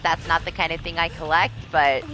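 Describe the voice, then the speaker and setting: A woman, middle-aged, with a raspy voice, chatting casually and freely with viewers as she streams a video game. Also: low voice, low-quality recording. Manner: animated, chatty, quick to laugh, swears freely. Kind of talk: casual chat with stream viewers while gaming.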